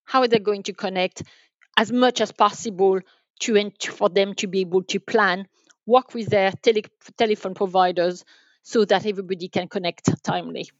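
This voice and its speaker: A woman explaining things as an expert guest in a podcast interview, haltingly, often repeating and rephrasing her phrases. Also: medium pitch, steady tone, no room sound, muffled recording. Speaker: guest, enterprise sales manager.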